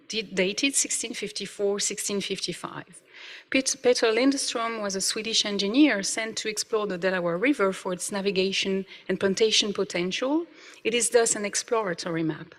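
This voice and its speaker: A woman, an English speaker, giving an academic conference talk, reading carefully with frequent "uh" fillers. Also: mid-range voice. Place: conference hall. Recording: reverberant hall.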